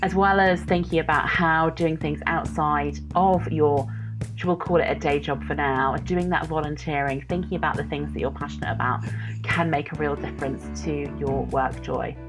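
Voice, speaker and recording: A woman with a low-pitched voice presents a podcast as its host. Her delivery is friendly and conversational, with instrumental music around her introduction. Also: fairly even intonation, moderate pace.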